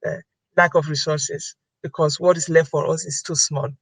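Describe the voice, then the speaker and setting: A woman's voice: sits low, level tone, moderate pace, breathy edge, dry recording. A woman giving an earnest webinar talk, repeating key phrases for emphasis over a computer mic. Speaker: webinar presenter.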